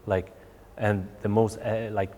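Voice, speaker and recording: A young man, his voice on the low side. He answers questions haltingly, full of "like" and "you know", restarting his phrases. The studio gives his voice a long, echoey tail. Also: little pitch movement, mellow. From Sweden. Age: 20s. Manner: hesitant, many fillers like "like" and "you know". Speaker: guest. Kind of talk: interview answers.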